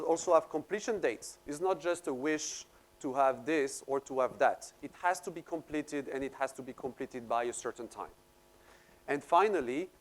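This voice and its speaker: A man with a French accent, a native French speaker, giving a seminar presentation in an explanatory way and often repeating words as he searches for phrasing. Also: medium pitch. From France.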